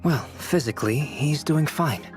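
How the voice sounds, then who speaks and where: An actor playing a calm, scholarly young alchemist in a video game, speaking in a measured, analytical way. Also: male voice, on the high side, soft background music, close to an everyday voice.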